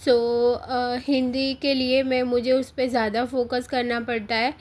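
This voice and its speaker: An Indian woman in her thirties narrates a home vlog through a close voice-over mic, her voice hoarse from a sore throat. She speaks a little high for a woman, in a flat, even tone, soft and unhurried, with frequent pauses. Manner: soft, unhurried, pauses often with "uh", mixes English into Hindi. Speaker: vlogger.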